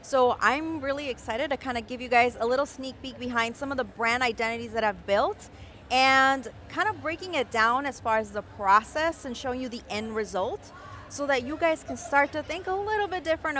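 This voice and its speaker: A young adult woman, born in Mexico City and raised in Caracas, explaining her design process with expressive enthusiasm in a live-stream interview. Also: sits high, normal speed, slight background noise. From Mexico City, raised in Caracas, Venezuela. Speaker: guest designer.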